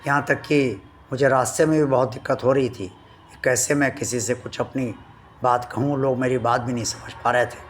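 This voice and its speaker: A man hosting a devotional channel, speaking to his viewers slowly and earnestly, his voice still weak from a sore throat. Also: mid-range voice, fairly even intonation, faint music behind. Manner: slow, earnest and devout, addressing viewers formally. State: recovering from a sore throat, voice not at full strength.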